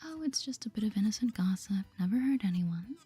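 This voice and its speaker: A woman's voice: mid-range voice, lively intonation, a little fast, mellow, soft background music. A woman voicing a coy, secretive gossip-loving village woman from a cozy game in a conspiratorial whisper. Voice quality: breathy, whispered.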